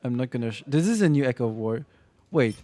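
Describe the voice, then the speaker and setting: A man's voice: mid-range voice, lively intonation, mellow. A man streaming a game, answering chat questions casually, stopping and restarting his sentences often. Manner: halting, full of restarts and fillers while answering chat. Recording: faint game music behind.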